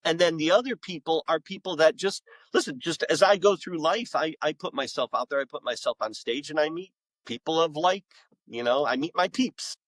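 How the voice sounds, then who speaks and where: A man's voice: on the high side, a little fast, no room sound, low-quality recording. A middle-aged man, a podcast guest and author, telling casual anecdotes in an enthusiastic, rambling way.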